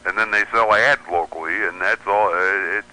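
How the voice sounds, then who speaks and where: Male, American, radio interview guest. Lowish voice with a very sharp, bright edge, explanatory and opinionated. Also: steady tone, moderate pace, a little hiss or hum, rough recording. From United States. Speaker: radio show guest, pirate radio enthusiast. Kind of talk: conversational radio interview commentary.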